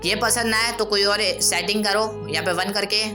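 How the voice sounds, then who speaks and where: A man's voice: high-pitched, steady tone, fairly quick. A man giving an informal, instructional screen tutorial in a casual way. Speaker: audio editing tutorial presenter. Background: music.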